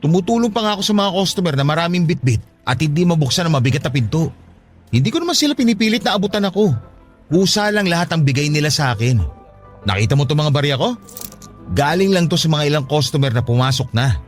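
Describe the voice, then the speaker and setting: A man's voice: fairly high pitch, very animated, fast talker, soft background music. A man playing a defensive, exasperated poor father with a brusque, argumentative manner in a radio drama. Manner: brusque, argumentative, self-justifying. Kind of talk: radio drama acting in Tagalog.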